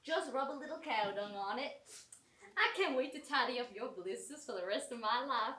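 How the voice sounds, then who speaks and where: A woman playing a kindly, prim Southern country woman in mourning, folksy and gently scolding, in a live amateur stage comedy. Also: a little high, expressive, moderate pace. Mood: tender. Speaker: actor in a staged reading of a short play. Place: small college theatre stage. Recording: roomy theatre, mic at a distance.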